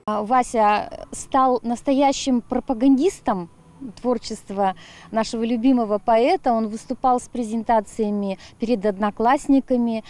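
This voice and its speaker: A middle-aged woman answers an interviewer in a soft-edged, fairly high voice. She speaks outdoors into a handheld microphone, pausing to find the right word. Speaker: blog author being interviewed. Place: outdoors, grassy yard by apartment blocks. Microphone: handheld reporter's microphone.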